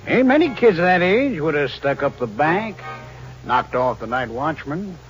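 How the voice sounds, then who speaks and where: A man's voice: fairly high pitch, very animated, a little slow. An actor playing a folksy, knowing small-town American lunch counterman in a 1940s radio drama, chatty and teasing. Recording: muffled vintage radio recording, faint music.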